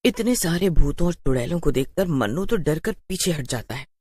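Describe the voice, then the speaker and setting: Low-pitched woman narrating a story. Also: lively intonation, normal speed, dark tone, slightly rough, no echo. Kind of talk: scripted horror story narration.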